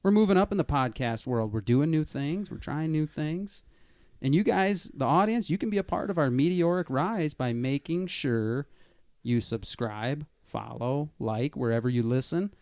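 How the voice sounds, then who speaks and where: A man hosting a casual podcast in a small studio, greeting listeners with energetic showmanship. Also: fairly high pitch, normal speed, no room sound. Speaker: podcast host.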